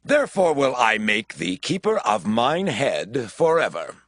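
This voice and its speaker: A commanding monarch in an audio scripture drama. The voice is husky and swings very widely in pitch, with an authoritative, declaimed delivery. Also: male voice, very animated, a little slow, fairly bright tone, no room sound, studio quality. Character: commanding, trusting foreign king.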